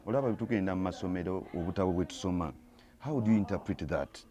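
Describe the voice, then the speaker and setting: A man's voice: low-pitched, quick pace, crowd noise. A Ugandan man in his forties, a theatre actor giving an outdoor street interview, talking emphatically and lecturing, sometimes rising to heated bursts. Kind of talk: street interview, mixing Luganda and English. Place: outdoors by an orange door. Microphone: handheld reporter mic.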